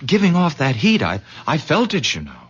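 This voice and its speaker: A man's voice: fairly high pitch, huge pitch swings, normal speed, a bit bright, slight background noise. An actor playing an awed, nervous young man, earnest and stammering, in 1970s radio drama style. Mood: earnest, grateful. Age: young adult. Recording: dull vintage radio drama recording.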